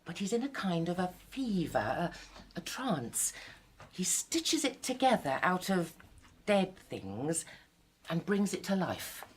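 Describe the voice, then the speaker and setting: An actress playing an intense, weary young woman writer in a live radio drama, telling her tale dramatically with a husky voice. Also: fairly low pitch, sing-song, slow, slight background noise. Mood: absorbed.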